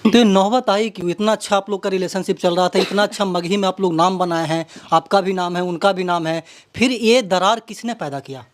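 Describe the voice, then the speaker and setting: A woman with a Bihari accent working as an interviewer, asking long conversational questions in a halting, wordy way. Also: medium pitch.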